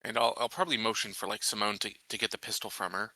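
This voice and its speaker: A man talking casually as a tabletop roleplaying player, deliberately working through his options. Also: on the low side, fairly even intonation, sharp tone, no room sound, low-quality recording. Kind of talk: casual game talk.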